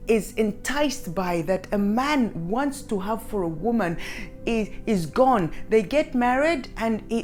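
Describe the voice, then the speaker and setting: Female advice coach, middle-aged, very unhurried and instructive, emphatic on key points, music playing behind. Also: mid-range voice, a little mellow. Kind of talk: direct-to-audience advice talk.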